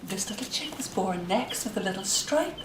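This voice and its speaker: A middle-aged woman storyteller narrating simply and gently for young children, in a sing-song, deliberate way. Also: medium pitch, animated. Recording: roomy, noisy, distant microphone.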